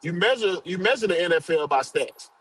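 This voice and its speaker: A man arguing sports in a forceful, profane, casual way. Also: sits high, lively intonation, fairly quick, slight background noise, rough recording.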